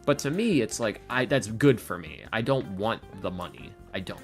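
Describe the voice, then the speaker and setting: A man's voice: lowish voice, big pitch swings, moderate pace, faint music behind. A man speaking casually and candidly as a podcast guest, earnest, confiding and self-deprecating. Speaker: podcast guest, ASMR roleplay audio creator. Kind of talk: casual, candid interview talk.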